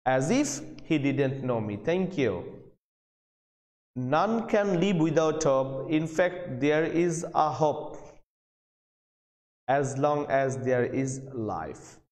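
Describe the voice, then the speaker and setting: Echoey Bangladeshi man teaching English, higher-pitched. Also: expressive, slow pace, pauses often, a little mellow. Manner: repeats phrases and questions, calls out names. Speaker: English lecturer. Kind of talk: live online grammar lesson, addressing students. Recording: very echoey room, long reverb.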